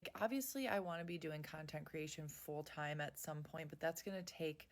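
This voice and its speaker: Young woman, lowish register, casual and confiding, plain everyday conversation. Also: normal speed.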